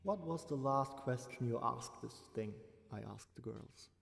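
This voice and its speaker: A man reading a scripted first-person horror story as its narrator, with a gravelly voice. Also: mid-range voice, a little slow, faint music behind.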